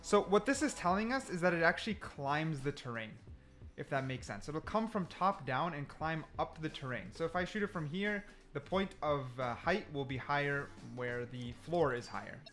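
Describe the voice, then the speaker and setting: A man's voice: fairly high pitch, expressive, normal speed, a bit bright, soft background music. A man giving casual gameplay commentary, explaining things and repeating points for emphasis. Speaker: gaming video creator.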